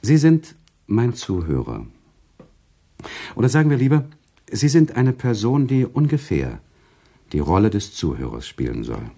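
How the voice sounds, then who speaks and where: An actor playing a playful, teasing author-storyteller in a literary radio play, with deliberate, rhetorical, theatrical phrasing. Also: male voice, on the low side, huge pitch swings, creaky, mellow, breathy edge, exaggerated voice. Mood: suave, teasing. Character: genteel male author-storyteller, playful, teasing, eager to tell.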